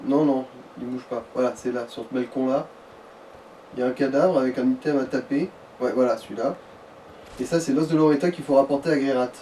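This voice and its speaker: A man's voice: mid-range voice, steady tone, fairly quick, mellow. A man casually giving directions to someone playing a video game, explaining in colloquial words. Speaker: co-commentator guiding the player. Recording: slightly distant, noisy voice recording.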